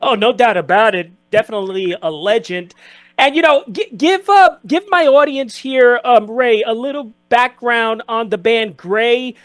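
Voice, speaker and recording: A man's voice: extremely high pitch, measured pace, fairly bright tone, no room sound. A man hosting a live radio talk show, greeting listeners and interviewing a guest with an upbeat announcer delivery.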